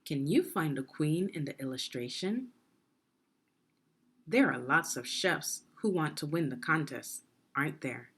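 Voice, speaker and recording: A woman reading a children's story aloud in a deliberate, expressive teaching manner, putting questions to the listener. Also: sits low, breathy edge. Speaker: education consultant reading a children's book aloud.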